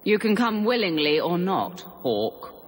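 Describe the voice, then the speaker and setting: Taunting villainess, swooping pitch. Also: female voice, very animated, measured pace, slight background noise. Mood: cold, taunting. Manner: taunting, commanding. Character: cold, menacing female adult antagonist, taunting. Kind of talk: dramatic fantasy video game acting.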